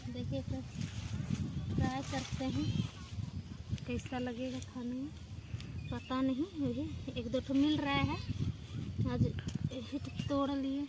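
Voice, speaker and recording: A young woman with a high voice chats casually while picking through the fields. She talks to the camera alongside her friend, and heavy outdoor noise runs under her words. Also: little pitch movement, slow, long pauses. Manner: chatty, joins in addressing viewers. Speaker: vlogger's companion. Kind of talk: casual chat.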